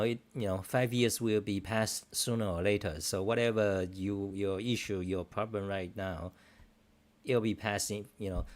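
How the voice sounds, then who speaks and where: A middle-aged man whose first language is Chinese, a livestream host who rambles casually and bluntly through viewer questions, swearing freely in loose, broken phrasing. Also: lowish voice.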